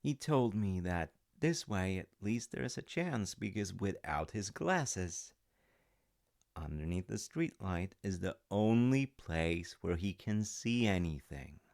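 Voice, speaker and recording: A man telling a gentle, rambling story as a comforting dad, with self-mocking humor, close to the mic. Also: sits low, sing-song, slow, vocal fry, dry recording. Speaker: audio roleplay storyteller playing a dad.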